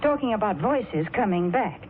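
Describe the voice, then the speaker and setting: A woman's voice: mid-range voice, expressive, normal speed. A woman doing chatty, polished 1940s radio banter as a commercial spokeswoman. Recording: tinny, faintly noisy 1940s radio broadcast.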